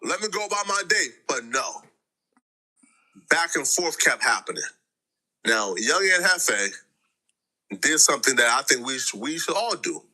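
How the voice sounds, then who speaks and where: A man's voice: medium pitch, big pitch swings, a little slow, long pauses, pushed and bright, low-quality recording. A man telling street news as a dramatic, slangy story, acting out the quotes. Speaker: content creator.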